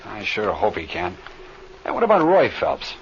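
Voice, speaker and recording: A man's voice: on the low side, huge pitch swings, normal speed. A man playing a seasoned, plain-spoken flight leader in an old radio drama, on a muffled, hissy recording. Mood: troubled, serious. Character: seasoned male flight leader, steady, folksy mentor.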